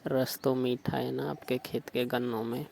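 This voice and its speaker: A man on a recorded phone call speaks in a gravelly, creaky voice at a mid pitch. He stays insistent and suggestive and keeps asking questions. His intonation is flat, with faint hiss on the line. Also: moderate pace. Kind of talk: staged flirtatious phone call.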